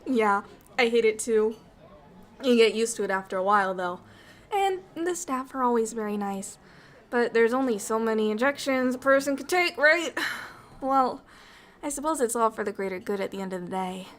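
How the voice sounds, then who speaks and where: A woman voicing a bubbly, friendly alien ambassador in an ASMR roleplay, chatty, excitable and quick to apologise. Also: on the high side, close to an everyday voice.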